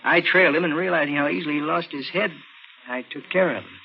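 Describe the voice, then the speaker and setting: An American man playing a cold-blooded murderer posing as a policeman in a 1940s radio thriller, with brisk, clipped, commanding tough talk. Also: on the high side, vocal fry. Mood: cold, menacing. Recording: muffled, noisy vintage radio recording.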